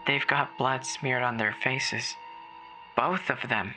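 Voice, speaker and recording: A performer voicing a terrified woman caller alone in a snowbound house, halting and stammering with fear in a horror audio drama. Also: medium pitch, fairly even intonation, music in the background, close to an everyday voice, low-quality recording. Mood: frightened. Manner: halting, fearful, breathy stammering. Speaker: emergency caller.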